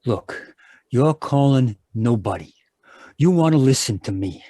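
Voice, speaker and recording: An actor playing a hot-tempered American small-time crook in an old-time radio crime drama, talking in gruff, slangy tough-guy style. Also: medium pitch, animated, measured pace, warm tone, no echo. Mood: threatening. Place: performed from home on a video call.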